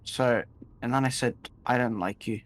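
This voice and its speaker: A man telling improvised stories casually, rambling and halting, often repeating his phrases. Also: medium pitch. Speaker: storyteller in a gaming video. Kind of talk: casual improvised storytelling while gaming.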